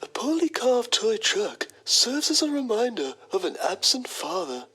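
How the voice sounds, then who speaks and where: A woman's voice: a little high, very animated, measured pace, fairly bright tone, breathy edge, full character voice. A woman playing a pretentious, self-admiring male journalist, narrating a mock documentary in a theatrical manner. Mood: mock poignancy. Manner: pompous, theatrical.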